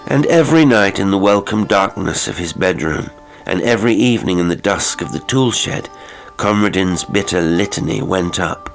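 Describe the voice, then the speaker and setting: A man narrating a classic horror audiobook in a measured, literary, theatrical manner. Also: low voice, animated, creaky, low-quality recording. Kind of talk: dramatic horror story narration. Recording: music behind the voice.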